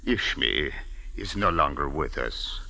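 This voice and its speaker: Very deep, menacing big boss. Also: male voice, expressive, gravelly, bright, forceful tone, no echo, heavily acted, low-quality recording. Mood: coolly evasive. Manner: slow, deliberate, evasive, formal. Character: powerful, menacing, smooth-talking big hotel manager. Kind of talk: 1940s-50s radio drama acting. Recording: old radio recording with faint noise.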